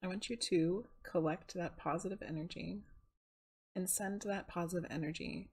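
A woman leading a guided meditation in a calm, soothing, unhurried manner, with a soft, breathy voice. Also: fairly low pitch.

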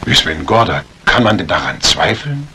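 An actor playing a courteous but probing doctor in a retro radio crime drama, speaking formally. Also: male voice, sits low, big pitch swings, normal speed, pushed and bright, slightly rough, full character voice. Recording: noisy old radio recording.

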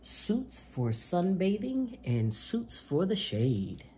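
A man, hosting a children's storytime and reading aloud in an expressive, deliberate way. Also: high-pitched, slight background noise. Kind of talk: read-aloud children's picture book. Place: small indoor room at home.

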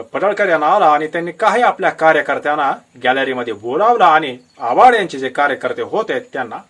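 A man's voice: a little high, fairly bright tone. A man with a Maharashtrian Marathi accent giving unscripted political commentary to viewers, explaining events in an emphatic storytelling way with rhetorical questions.

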